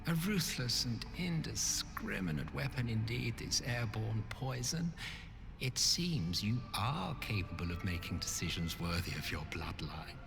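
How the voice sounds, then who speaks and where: An ancient immortal schemer from a video game, drawling slowly with mocking condescension. His pitch swoops theatrically as he toys with each phrase, weary and superior, over prominent orchestral music. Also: animated, vocal fry. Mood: sardonic praise. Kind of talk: video game villain acting.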